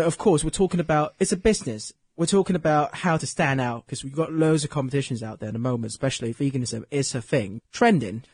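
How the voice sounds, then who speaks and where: A man's voice: mid-range voice, a little mellow, breathy edge, no echo. A man hosting an interview, asking long, rambling questions full of "you know" and restarts.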